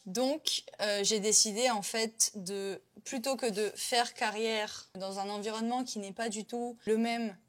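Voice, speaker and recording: A woman's voice: on the high side, steady tone, measured pace, hardly any pauses. A woman in her twenties from Côte d'Ivoire, chatting as an interview guest with lots of fillers and restarts. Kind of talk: casual interview chat.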